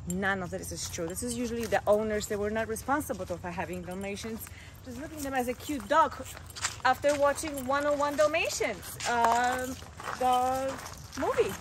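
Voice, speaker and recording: Earnest high-voiced woman, noisy outdoor recording. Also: lively intonation, moderate pace. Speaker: dog owner and vlogger. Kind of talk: casual vlog talk giving dog advice. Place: outdoors on a wooded hiking trail. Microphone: camera held by another person, a few steps away. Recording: noisy outdoor handheld camera audio.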